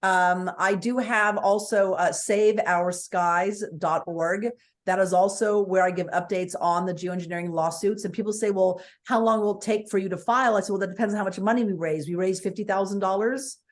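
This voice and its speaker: A woman with a booming voice, a guest speaking forthrightly and with energy in an interview over a video call. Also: normal speed. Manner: forthright, energetic, piles on points.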